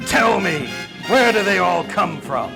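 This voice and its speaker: Man playing a deadpan hard-boiled private eye. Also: sits high, a little slow, gravelly, exaggerated voice. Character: hard-boiled, wry male private detective. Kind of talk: film noir radio acting. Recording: loud music behind.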